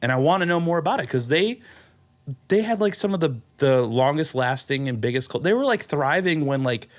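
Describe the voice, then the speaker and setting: A young man, hosting a podcast in casual chat, blunt and quick to laugh. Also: on the high side. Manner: animated, laughing, blunt and joking. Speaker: podcast host.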